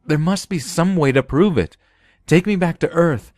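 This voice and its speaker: A man's voice: fairly high pitch, normal speed, a little mellow, no room sound, studio quality, pitched above the performer's own voice, lightly acted. A man voicing a frantic, pleading man accused of being a robot in a science fiction story. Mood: desperate, insistent. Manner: pleading, insistent. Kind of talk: dramatised science fiction audiobook.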